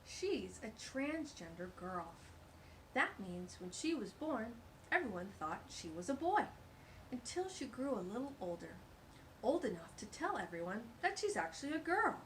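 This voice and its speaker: A woman's voice: fairly high pitch, sing-song, moderate pace, a little hiss or hum, low-quality recording. A woman hosting a children's storytime, reading a picture book aloud with gushing, exclamatory asides.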